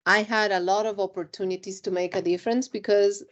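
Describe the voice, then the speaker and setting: A middle-aged woman from Argentina, interviewed about her career, speaks in a measured, reflective way with frequent ums. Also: mid-range voice, fairly even intonation. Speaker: compliance executive, interview guest.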